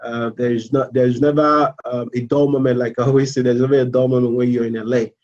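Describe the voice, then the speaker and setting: Man, Nigerian accent, thirties, student talk, many ums and restarts, monotone. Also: mid-range voice, lo-fi sound. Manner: halting, many fillers and repeated words. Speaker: MBA student. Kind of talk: informal live presentation.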